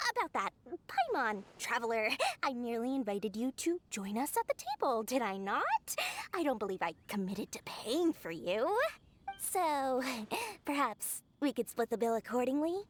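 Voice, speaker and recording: A woman's voice: on the high side, sing-song, unhurried, a little hiss or hum. A woman playing a refined, penny-pinching merchant and scholar in a video game, speaking in an elegant, articulate and theatrical way. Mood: dismayed, haggling.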